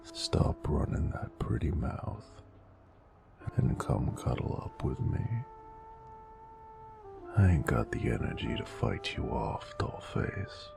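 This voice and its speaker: A man's voice: wildly swooping pitch, slow, pauses often, over music, exaggerated voice. A man playing a weary, teasing, affectionate noir mobster in an intimate romance audio roleplay, murmuring and sighing in old-time gangster slang. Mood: tender, drowsy. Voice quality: very deep, gravelly, breathy murmurs.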